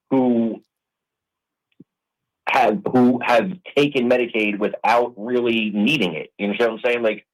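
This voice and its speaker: A man's voice: medium pitch, rough recording. A man in his forties, a blunt, streetwise guest arguing in a heated political debate. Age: 40s.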